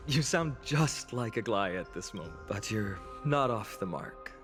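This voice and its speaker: A man voicing a young video game hero who seems carefree but is earnest and wistful, teasing lightly and pausing in thought. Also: on the low side, expressive, a bit bright. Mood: gently teasing. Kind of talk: video game voice acting, dramatic dialogue. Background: background music.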